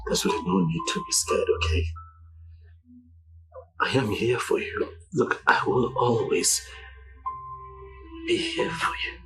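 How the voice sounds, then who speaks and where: An actor playing a devoted husband who softly soothes and pleads with his distraught wife. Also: medium pitch, big pitch swings, unhurried, gravelly, long pauses, music in the background, low-quality recording. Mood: pleading tenderly. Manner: soothing, pleading, soft-spoken. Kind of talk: film drama acting.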